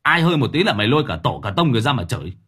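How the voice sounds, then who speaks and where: A man's voice: mid-range voice, sing-song, rapid-fire, husky. A man voicing a panicky, foul-mouthed village man who wails and curses. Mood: raging. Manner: wailing, cursing, yelling.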